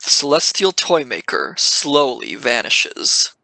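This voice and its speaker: Bright-voiced male drama narrator. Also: mid-range voice, sing-song, takes it slowly, vocal fry, no room sound, low-quality recording. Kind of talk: scripted audio drama narration.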